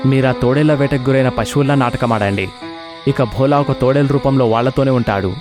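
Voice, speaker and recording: A man voicing the vengeful, scheming ghost of a lion king in an animated story, speaking in a solemn, commanding way. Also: mid-range voice, fast, music in the background, natural voice.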